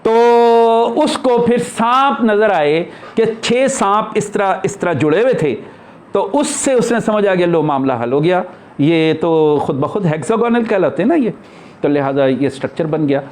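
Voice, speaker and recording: A man's voice: sits high, animated, a little slow. A man teaching a class in a conversational religious discourse, explaining and posing rhetorical questions. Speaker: spiritual teacher lecturing a class.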